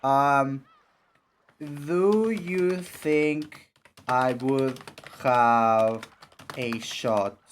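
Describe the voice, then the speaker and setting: A young man with a raspy voice streaming casually, rambling with many fillers and restarts. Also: mid-range voice, extremely slow, long pauses, slight background noise. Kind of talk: casual live-stream chat and reading game text.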